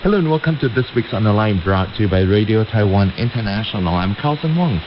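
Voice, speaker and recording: A man's voice: fairly low pitch, lively intonation, quick pace, over music. A man hosting a scripted current affairs programme on shortwave radio. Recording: dull, hissy, noisy shortwave radio broadcast.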